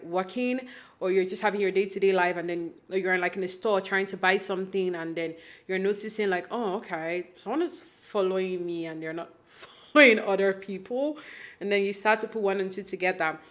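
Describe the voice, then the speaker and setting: A young woman from Nigeria talks through a reality show recap in a loose, chatty way. She leans on fillers, restarts her sentences, and slips into little acted-out quotes. Her voice sits at an ordinary middle pitch. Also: a little fast. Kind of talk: casual recap commentary.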